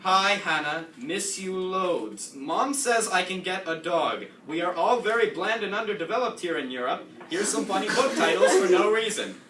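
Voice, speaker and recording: A man playing a bland, sarcastic American teenage girl newly moved to England, in a deadpan, mocking comedy reading. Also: high voice, moderate pace, few pauses, echoey room, far from the mic, crowd noise. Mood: mock sweet. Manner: deadpan, mocking, self-aware.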